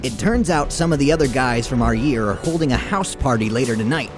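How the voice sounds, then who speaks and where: A man's voice: medium pitch, lively intonation, brisk. Man reading a story aloud over music. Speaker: reader of a game readalong. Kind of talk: scripted narration and character dialogue read aloud. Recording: music behind the voice.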